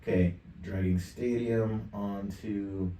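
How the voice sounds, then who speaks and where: Man, 50s, low voice, offhand tutorial host thinking aloud; roomy, slightly distant mic. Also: fairly even intonation, slow pace, warm tone, a little hiss or hum. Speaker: tutorial presenter. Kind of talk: casual screen-share software tutorial. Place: indoor home studio desk.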